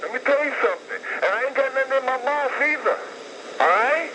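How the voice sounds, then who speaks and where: A man's voice: very high-pitched, expressive, fast talker. A man calling a radio show, belligerent and taunting, repeating "all right" as he challenges the host. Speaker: caller. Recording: loud noisy phone line on radio.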